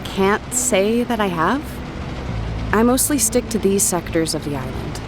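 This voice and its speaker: A woman's voice: mid-range voice, expressive, a little slow, close to an everyday voice. An actress playing a guarded, clever woman who tinkers with tech on a prison island, in an audio drama. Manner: guarded, explanatory. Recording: music under the voice.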